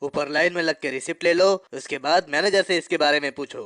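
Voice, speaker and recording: Man as a brusque, highish-voiced afterlife official. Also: a little fast, a bit bright, dry recording, studio quality, close to an everyday voice. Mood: officious. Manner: firm, officious. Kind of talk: cartoon voice acting.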